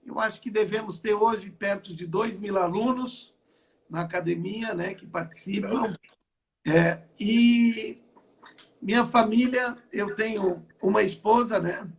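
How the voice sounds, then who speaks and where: A man's voice: high-pitched, a little slow, creaky. An older man from Brazil, a pastor giving his testimony in an online interview from home, repeating and restarting phrases as he talks. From southern Brazil. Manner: repetitive, stacks and restarts phrases. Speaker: apostle and pastor.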